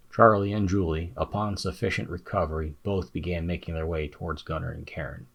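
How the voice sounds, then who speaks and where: A man's voice: sits low, slightly rough. A man reading scripted fantasy narration as an audiobook narrator.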